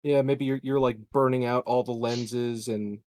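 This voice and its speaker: A man chatting casually as a player in a tabletop game, improvising ideas haltingly and repeating words. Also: mid-range voice, fairly even intonation, a little mellow, dry recording.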